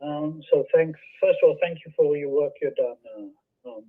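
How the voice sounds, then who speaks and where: Slightly hoarse man on muffled phone. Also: on the high side, normal speed. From Canada. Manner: polite, hesitant, repeats himself. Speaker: caller. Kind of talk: casual call-in commentary. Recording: muffled, thin phone call.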